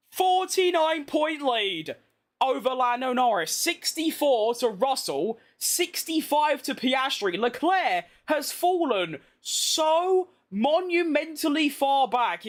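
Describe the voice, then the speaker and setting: Streamer, British man, worn out after a long day, rambling and self-mocking, pitched very high. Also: fairly bright tone. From Britain. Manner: chatty, rambling, self-deprecating. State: tired from being awake all day. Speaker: gaming streamer. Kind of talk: casual live-stream gameplay chat.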